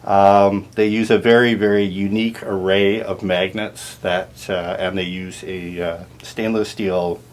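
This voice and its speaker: A man giving an informal, technical product tour in a halting, explanatory way. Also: low-pitched, little pitch movement. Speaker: audio dealer and turntable restorer giving a product tour.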